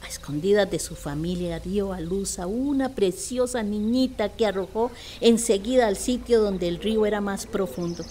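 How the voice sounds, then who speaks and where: A woman's voice: mid-range voice, moderate pace, few pauses, warm tone. A Costa Rican woman reading a scripted legend as narrator of a radio play. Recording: clear background noise, nature ambience.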